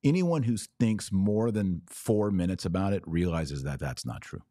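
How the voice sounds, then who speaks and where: Deep-voiced man, casual religious podcast host. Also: expressive, moderate pace, dark tone, dry recording, clean studio sound. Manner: conversational, earnest explaining with rhetorical questions. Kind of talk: casual religious talk answering viewer comments.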